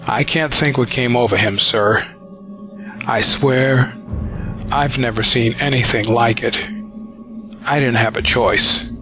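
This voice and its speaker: A man acts a conscientious army sergeant in an audio drama, shaken and deferential. He speaks earnestly at a slow pace, with music clearly behind him. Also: medium pitch, close to an everyday voice. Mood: shaken, remorseful. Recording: music under the voice.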